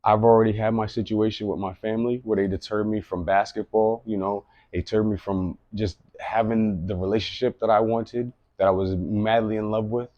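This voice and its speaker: A man's voice: fairly low pitch, flat delivery, brisk, hardly any pauses, darkish tone, no room sound, muffled recording. A man in his late thirties giving an earnest, rambling personal monologue, often repeating himself. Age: late 30s. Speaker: vlogger.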